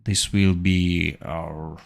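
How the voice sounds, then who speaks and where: Low gravelly man from Armenia, slow halting livestream tutorial, keyboard clicking. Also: little pitch movement. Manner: thinking aloud, halting with fillers and self-corrections. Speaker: animation tutor. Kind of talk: live-streamed software tutorial.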